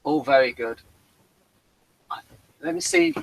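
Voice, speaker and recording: A man's voice: a little high, huge pitch swings, takes it slowly, creaky, slight background noise, poor audio. A man hosting a review show in casual, unscripted chat, rambling and stop-start as he thinks aloud.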